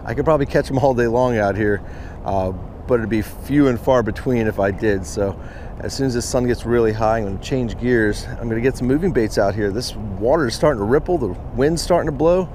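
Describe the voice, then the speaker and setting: A man's voice: mid-range voice, a little fast, a little mellow, slight background noise. An American man in his thirties or forties giving casual, chatty commentary to viewers as a fishing vlogger, recorded outdoors. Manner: chatty, informal, addresses viewers as guys. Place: outdoors beside a pond.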